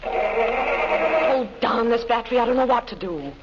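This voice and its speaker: An actress playing a scheming, sardonic wife, bossy and mock-sweet, in 1940s radio comedy style. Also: female voice, fairly high pitch, animated, unhurried. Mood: urgent. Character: scheming wife, a sardonic comic femme fatale. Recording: noisy, muffled old radio recording.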